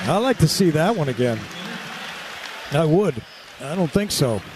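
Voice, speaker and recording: A man calling live radio basketball play-by-play in clipped, excitable running descriptions. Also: fairly high pitch, unhurried. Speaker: play-by-play announcer. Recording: courtside with loud crowd and gym noise. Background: crowd and arena ambience.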